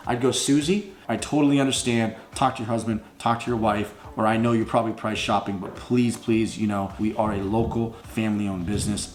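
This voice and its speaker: A man with a mid-range voice teaches sales in an informal, emphatic way. He talks bluntly like a salesman coaching his listeners and demonstrates customer pitches. The sound is echoey and roomy, with faint music behind him. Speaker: instructor teaching phone sales for junk removal. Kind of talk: informal coaching talk with acted-out sample calls. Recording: roomy echo with music underneath.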